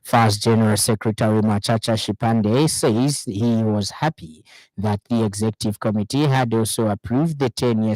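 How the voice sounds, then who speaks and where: A man's voice: on the low side, a little mellow, no room sound, rough recording. A man with a Zambian accent reading a scripted radio news bulletin in a studio.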